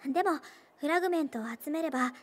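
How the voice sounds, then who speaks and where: Woman voicing a high-pitched, wistful teenage schoolgirl. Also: quick pace, close to an everyday voice. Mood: hesitant, earnest. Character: earnest, wistful teenage schoolgirl, former dancer. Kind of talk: Japanese video game voice acting.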